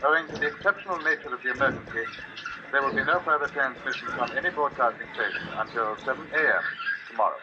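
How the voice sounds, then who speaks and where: Stiff british newsreader on old radio, muffled, noisy, formal emergency bulletin voice. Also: male voice, mid-range voice, fast. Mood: solemn, urgent. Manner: formal, clipped, official. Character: solemn official London radio announcer reading emergency bulletin. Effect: heard through a radio set. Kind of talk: formal 1950s radio news announcement. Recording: dull, noisy vintage radio transmission.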